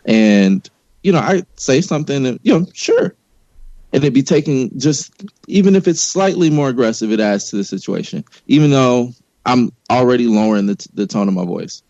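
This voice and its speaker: A man's voice: mid-range voice, expressive, moderate pace, warm tone, dry recording, muffled recording. A man talking in a loose, casual way as a guest on a podcast discussion.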